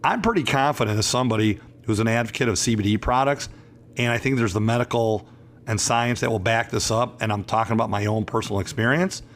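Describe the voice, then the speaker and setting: A hoarse man in his fifties, an attorney hosting a talk show, chatting in a rambling, emphatic and self-assured way. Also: mid-range voice, fast, fairly bright tone, a little hiss or hum. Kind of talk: casual radio talk show chat.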